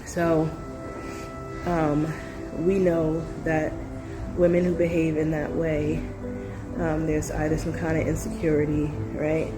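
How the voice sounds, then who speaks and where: Woman, low voice, nasal from a cold, rambling casual talk, music playing behind. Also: monotone, slow, pauses often, rough recording. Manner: rambling, full of fillers and asides. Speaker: video commentator. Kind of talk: casual reaction video talk.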